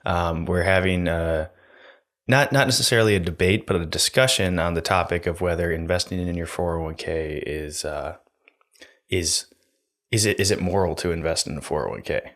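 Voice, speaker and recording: Podcast co-host: low-voiced young man, steady monotone, soothing casual talk, close mic. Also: normal speed. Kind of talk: casual chat. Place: small room indoors. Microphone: studio mic on stand, close.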